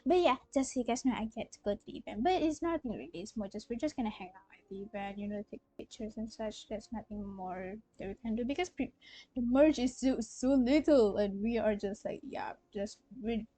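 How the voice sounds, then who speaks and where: A woman's voice: mid-range voice, animated, brisk, mellow. A young woman chatting casually on a game livestream, hesitant and rambling, often trailing off. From Malaysia. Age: young adult. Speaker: game streamer. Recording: game music behind the voice.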